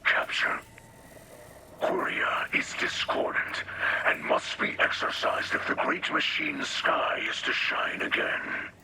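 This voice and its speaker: Guy doing a slow whispery alien captain for a game, solemn n formal, loud background noise. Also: natural voice. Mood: solemn warning. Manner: solemn, formal, deliberate phrasing. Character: grave alien male captain, wary spiritual splicer leader. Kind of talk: video game character dialogue.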